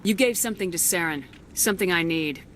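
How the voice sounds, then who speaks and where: A woman acting as a resolute military commander in a video game. Her voice is slightly low, and she talks directly with no fuss. There is clear background noise around her. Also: fairly quick, a bit bright, close to an everyday voice. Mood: firm, resolute. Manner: direct, no-nonsense. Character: firm, decisive female military commander.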